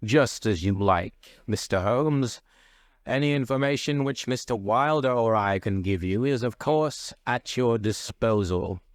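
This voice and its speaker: A man's voice: mid-range voice, unhurried, no room sound, close to an everyday voice. A stony, stately duke as a male narrator plays him in a classic detective tale. He speaks with grave, sonorous formality, and the recording is dry. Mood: courteous, reserved.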